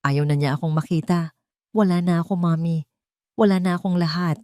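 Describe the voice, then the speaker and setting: A woman's voice: fairly low pitch, steady tone, fairly quick, darkish tone, no echo, studio quality, natural voice. A woman with a Filipino accent voicing a bitter, heartbroken rich young man melodramatically in an audio story. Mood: despairing.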